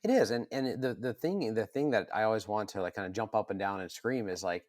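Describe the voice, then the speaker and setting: A man's voice: on the low side, fairly quick, no room sound. Earnest man talking, dry close sound. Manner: earnest, animated, frequent restarts and repeated words. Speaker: podcast co-host. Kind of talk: casual podcast conversation.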